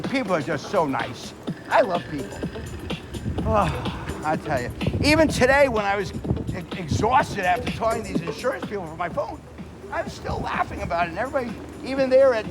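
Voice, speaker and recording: A playful, boastful man chats in a casual vlog style, his pitch swinging widely from phrase to phrase. Loud music and city traffic run behind him out in the street. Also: poor audio. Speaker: celebrity show host. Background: loud music, vehicles, urban noise.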